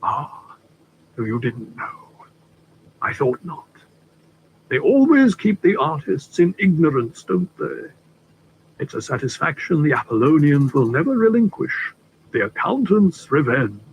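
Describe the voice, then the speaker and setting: A man doing a cultured, funereal old theatre gentleman. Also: a little high, sing-song, highs cut off, a little hiss or hum. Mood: wry. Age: older. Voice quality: smooth, cultured, unhurried. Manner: measured and theatrical, with drawn-out words. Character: cultured older male theatre trustee, persuasive, funereal.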